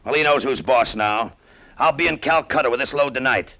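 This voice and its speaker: A man's voice: medium pitch, brisk, dry recording. An American man playing a hard, hot-tempered, cynical cargo pilot in old-time radio drama, with a tough, clipped delivery. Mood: hostile. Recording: vintage radio drama with loud music.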